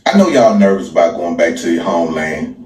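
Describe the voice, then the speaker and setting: An American man with a fairly low voice gives a mocking, sarcastic monologue. He repeats phrases to drive them home. The room is echoey and he sits a bit away from the mic. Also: lively intonation, brisk, a little mellow, recorded from a distance. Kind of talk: monologue to camera.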